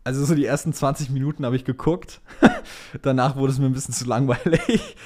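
A man's voice: mid-range voice, wildly swooping pitch, a little fast, a little mellow. A man co-hosting a casual motorsport chat, talking informally with English slang mixed in. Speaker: podcast co-host reading listener questions.

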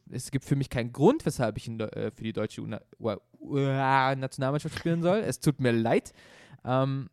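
A man's voice: on the high side, a little mellow, no room sound, clean studio sound. A young man co-hosting a casual football podcast, opinionated and rambling, often restarting his sentences. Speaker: podcast co-host.

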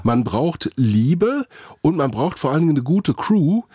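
A man with a cold co-hosts a studio podcast, his voice husky and a little high. He jokes at his own expense in relaxed banter. The sound is dry and close. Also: measured pace, no room sound. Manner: joking, self-deprecating banter. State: hoarse from a cold. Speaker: podcast co-host. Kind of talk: casual studio chat.

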